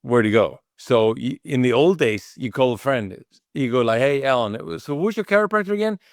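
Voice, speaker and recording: A man with an Icelandic accent talks in a loose, conversational way, as an interview guest would. His pitch sits in the middle of the male range. He rambles a little and acts out bits of dialogue, in a dry recording. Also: animated, moderate pace, no echo. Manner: informal, rambling, acts out quoted remarks. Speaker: interview guest, chiropractic clinic owner. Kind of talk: casual conversational interview answers.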